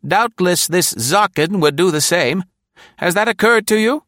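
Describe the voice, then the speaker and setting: A man voicing a sharp-tongued former secretary, a woman who speaks acidly and indignantly, in a story reading. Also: sits high, expressive, unhurried, no echo, studio quality, voice pushed up. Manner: sharp, acid, sulky.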